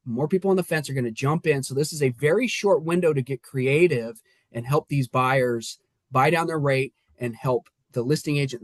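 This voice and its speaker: A man's voice: a little high, no room sound. A man who works as a mortgage lender, talking upbeat and explaining market trends on a remote call. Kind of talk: casual podcast talk.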